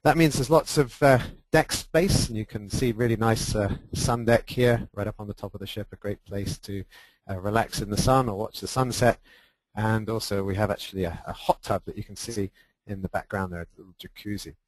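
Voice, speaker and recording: Man, stuffy-nosed, lowish voice, dry sound; hesitant, casual presenter. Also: brisk, no echo, rough recording. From United Kingdom. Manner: hesitant, many uhs and restarts. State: stuffy nose from hay fever. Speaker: expedition leader.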